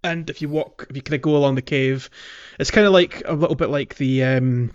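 A man's voice: mid-range voice, brisk, clear, resonant tone, highs cut off. A man hosting a podcast, talking casually through a video game in a hesitant, explanatory way with colloquial British phrasing. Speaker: podcast host.

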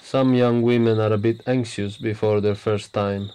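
A man's voice: on the low side, steady tone, normal speed, dark tone, clear, resonant tone, close to an everyday voice. A man playing a pompous, smug prime minister. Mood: smarmy. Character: pompous arrogant male prime minister, middle-aged. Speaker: politician. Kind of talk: radio play acting.

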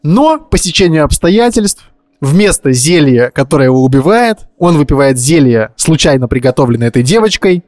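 Casually chatting man, slightly high voice. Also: big pitch swings, normal speed, darkish tone, no echo, clean studio sound. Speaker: podcast co-host. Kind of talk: casual chat, anecdotes.

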